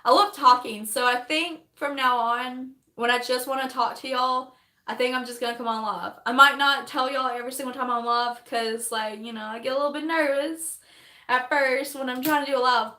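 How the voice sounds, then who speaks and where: A young woman, chatting on a phone livestream while doing her makeup, rambling fast, laughing often and losing her voice. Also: high-pitched, fairly even intonation, bright, forceful tone, reverberant. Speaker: livestreaming vlogger.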